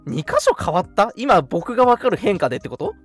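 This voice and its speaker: Male radio co-host, lively intonation, informal livestream chatter, self-deprecating, music underneath. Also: sits high, brisk. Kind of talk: casual live radio chat.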